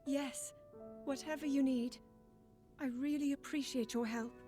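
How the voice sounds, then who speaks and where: An earnest young princess from a video game. Her voice is high and soft, with polite sincerity. Music is clearly heard underneath. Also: steady tone, a little slow. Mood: grateful, earnest. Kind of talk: video game cutscene acting.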